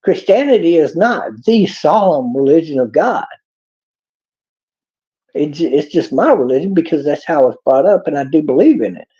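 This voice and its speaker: A man's voice: a little high, expressive, moderate pace, dark tone. A man with a Texas accent giving a rambling, preachy monologue in a folksy drawl. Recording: dull lo-fi clip.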